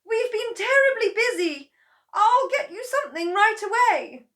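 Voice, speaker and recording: A woman voicing a fretful, nagging farmer's wife with a shrill, whiny tone in a storybook reading. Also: expressive, unhurried, a bit bright, full character voice. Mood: anxious.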